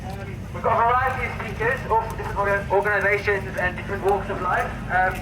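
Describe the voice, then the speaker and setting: A man's voice: high voice, flat delivery, fast talker. A man hosting an outdoor rally, upbeat and thankful as he introduces the speakers, with loose ums. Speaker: event host and MC. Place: outdoors at a street event. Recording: echoey outdoor sound with traffic noise.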